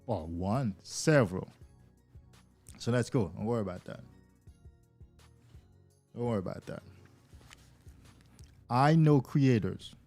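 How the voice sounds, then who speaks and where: A man's voice: mid-range voice, lively intonation, takes it slowly, pauses often, warm tone, soft background music. A man hosting a casual live stream as a coach, talking loosely and enthusiastically to viewers and often repeating words as he goes.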